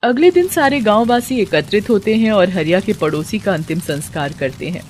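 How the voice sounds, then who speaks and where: A woman's voice: mid-range voice, expressive, brisk, soft background music. A woman giving scripted narration of a story as its narrator.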